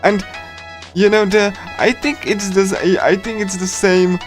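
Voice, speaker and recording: Casual male livestreamer, higher-toned, reading and commenting on a game in a loose, rambling manner. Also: flat delivery, moderate pace, soft background music. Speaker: streamer.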